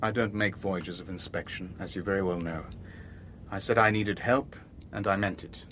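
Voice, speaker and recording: Low-voiced, weary shipping owner. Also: male voice, moderate pace, bright, forceful tone, a little hiss or hum. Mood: guarded, controlled. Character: weary, shrewd shipping owner narrating his own spy ordeal. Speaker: narrator and lead character. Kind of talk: radio drama, first-person narration and dialogue.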